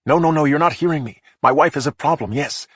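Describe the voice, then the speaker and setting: A man's voice: fairly high pitch, fast talker, a bit bright, husky, no room sound, clean studio sound, voice pushed up. A man voicing a stressed middle-aged man who hisses threats and panics on the phone, in a profane, snarling way. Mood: desperate. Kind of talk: audiobook character voice.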